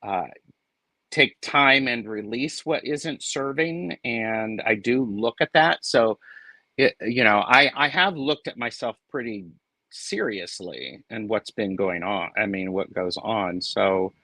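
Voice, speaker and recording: A man's voice: lowish voice, takes it slowly, bright, forceful tone, no room sound. A man joining a live tarot stream as a guest reader, talking in a hesitant, reflective way with lots of ums. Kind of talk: casual live-stream chat.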